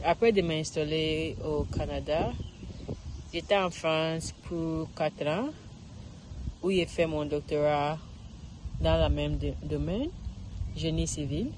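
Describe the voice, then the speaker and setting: A woman's voice: sits low, darkish tone, slight background noise, rough recording. A woman, recording a casual practice monologue outdoors in a park, searching for words and restarting often. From Ghana. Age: 30s. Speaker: vlogger learning French.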